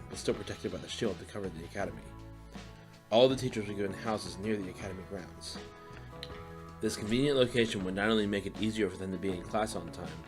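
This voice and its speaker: A man reading scripted fiction as the narrator of a dramatized audiobook. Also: low-pitched.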